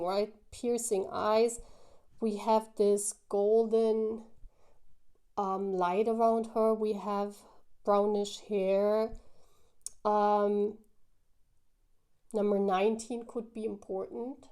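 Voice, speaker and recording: Woman with a mellow voice, musing aloud. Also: mid-range voice, clear, resonant tone. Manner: musing, halting, thinking aloud. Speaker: tarot reader, host. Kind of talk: casual intuitive tarot reading, spoken to viewers.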